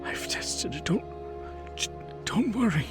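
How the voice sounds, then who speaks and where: Man acting a slow, pleading elderly man. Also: sits high, very animated, music in the background, pitched above the performer's own voice. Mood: evasive pleading. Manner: halting, hushed, repetitive pleading. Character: elderly, ragged, obsessed man, pleading and evasive. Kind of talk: tabletop horror roleplay.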